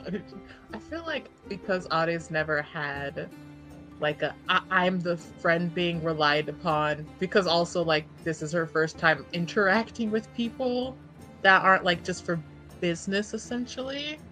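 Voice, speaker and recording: A woman's voice: fairly low pitch, a little slow, bright, forceful tone, faint music behind. A woman chatting casually and animatedly as a player in a tabletop game, slangy and sweary, often laughing.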